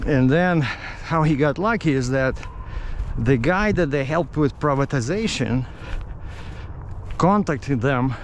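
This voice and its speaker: A middle-aged man from Russia with a Russian accent tells stories casually in English as a non-native speaker, haltingly searching for words. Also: medium pitch. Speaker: vlogger. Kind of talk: casual rambling storytelling. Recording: outdoor street noise with traffic.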